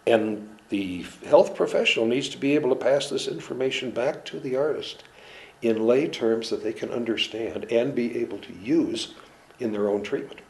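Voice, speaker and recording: A man who treats musicians and dancers, giving a measured, earnest, halting explanation in an interview. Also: medium pitch, darkish tone, low-quality recording. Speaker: clinician in performing arts medicine.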